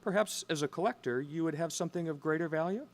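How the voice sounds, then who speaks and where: An actor playing a courteous, condescending gemstone dealer in an audio drama, speaking formally. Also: male voice, fairly high pitch, fast, a little hiss or hum, lightly acted. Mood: smooth, dismissive. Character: polite, shrewd male gemstone auction dealer.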